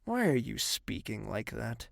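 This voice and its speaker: Male actor as weary highborn young man, audio drama, slow delivery, gravelly fry, curt, brooding. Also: sing-song, full character voice. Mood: irritated, weary. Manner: dry, curt, world-weary.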